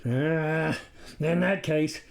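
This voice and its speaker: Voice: medium pitch, very slow, gravelly. A narrator as a wary, blunt farmer. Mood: suspicious, polite. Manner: blunt, questioning, suspicious. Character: wary, no-nonsense farmer husband, mannerly but suspicious.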